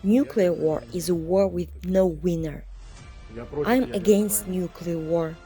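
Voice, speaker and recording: A woman reading translated statements as a voice-over, in a steady, earnest manner. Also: lowish voice, slow pace, soft background music. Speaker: voice-over interpreter.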